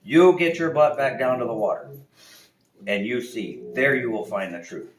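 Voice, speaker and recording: A booming wise snow owl, as a man puts it on. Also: lively intonation, moderate pace, roomy sound, lightly acted, rough recording. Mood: scolding. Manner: solemn, scolding, booming.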